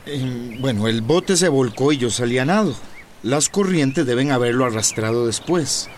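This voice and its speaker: A man's voice: mid-range voice, lively intonation, normal speed, slight background noise, close to an everyday voice. An actor playing a humble, grateful male survivor of a shipwreck, earnestly telling his story in a radio soap opera. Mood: somber. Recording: radio drama with music underneath.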